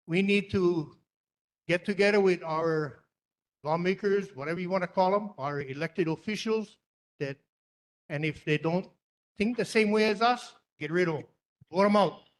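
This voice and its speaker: A man's voice: sits high, normal speed. A man testifying at a community meeting, plainspoken, rambling and defiant. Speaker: public testifier.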